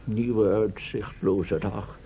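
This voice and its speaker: A man's voice: on the low side, steady tone, drawn-out words, dark tone. An actor playing a gloomy, fussy captive professor in a Dutch radio play, pompous and wordy in his fretting. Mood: worried, despondent. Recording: muffled, noisy old radio play recording.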